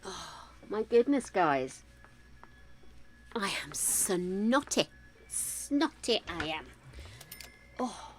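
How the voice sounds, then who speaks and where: A woman's voice: medium pitch, sing-song, drawn-out words, long pauses, a little hiss or hum. An older woman streaming a game, chatting casually and musing aloud to her viewers as she builds. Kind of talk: casual gameplay chat. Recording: game music behind the voice.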